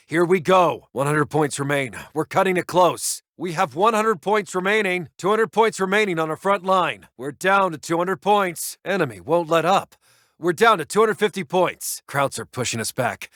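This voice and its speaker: Urgent, higher-pitched male army intelligence officer. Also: a bit bright, no room sound, studio quality. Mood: grave, urgent. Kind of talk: military game announcer voice lines.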